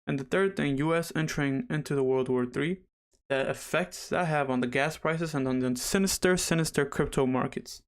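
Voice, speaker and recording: A young man hosting a casual livestream, slightly raspy after dental surgery, chatty and rambling as he talks straight to viewers. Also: a little high, normal speed. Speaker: livestream host.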